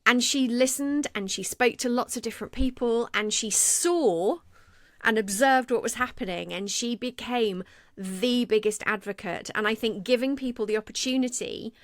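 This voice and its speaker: A woman's voice: fairly high pitch, normal speed, no echo, studio quality. A woman co-hosting a podcast, telling a work story in a conversational way and acting out quoted lines. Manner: animated, acts out quoted speech. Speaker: podcast co-host.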